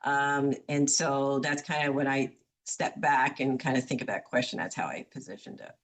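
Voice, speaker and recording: A woman with a notably low voice answers an interview question. She speaks in a thoughtful, measured way, softening her points with small fillers. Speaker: interview guest.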